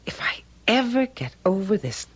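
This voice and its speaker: Grieving widow in old radio play, lowish husky voice, dramatic and hesitant, muffled sound. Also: female voice, very animated, moderate pace. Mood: wistful, troubled. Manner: hesitant, dramatic, emotive delivery. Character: anxious grieving widow, wistful and stubbornly convinced. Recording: muffled vintage radio recording, music.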